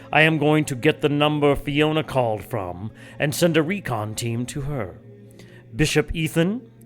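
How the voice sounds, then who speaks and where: A man's voice: on the high side, fairly bright tone, natural voice. An actor playing a cold, scheming male church leader who gives orders dryly, in an audio drama. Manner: dry, commanding, sly.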